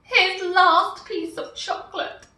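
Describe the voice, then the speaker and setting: Woman reading a picture book aloud, slow and animated, bright voice, echoey room far from mic. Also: very high-pitched. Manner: slow, expressive, warmly animated. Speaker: storyteller.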